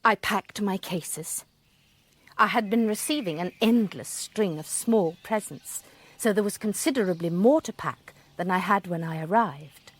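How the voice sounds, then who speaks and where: A woman's voice: mid-range voice, unhurried, natural voice. An actress playing a conscientious, troubled woman who narrates a radio drama, with a British accent and precise, well-spoken delivery. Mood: measured narration. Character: conscientious, troubled middle-class woman, narrator and reluctant confidante.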